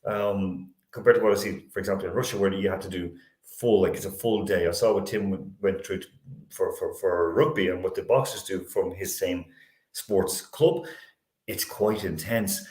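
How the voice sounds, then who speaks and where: Man, sports talk host, fairly deep voice, halting phrasing, repeated words, roomy echo. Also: a little mellow. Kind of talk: panel sports discussion. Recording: roomy, echoey sound.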